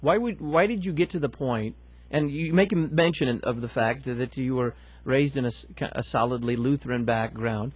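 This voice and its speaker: A man's voice: mid-range voice, moderate pace, dry recording. A male host interviewing a guest, asking probing questions and pressing for more detail.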